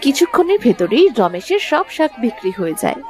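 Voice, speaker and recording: A woman's voice: fairly high pitch, sing-song, brisk, darkish tone, a faint crowd. A woman narrating a scripted children's story.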